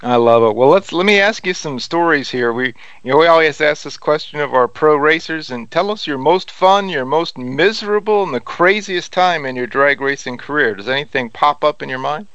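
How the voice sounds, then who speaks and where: A man's voice: a little high, normal speed, hardly any pauses, fairly bright tone, clear, resonant tone, no room sound. A man hosting a radio interview, asking questions in a chummy, joking way. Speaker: radio host. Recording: dull lo-fi radio sound.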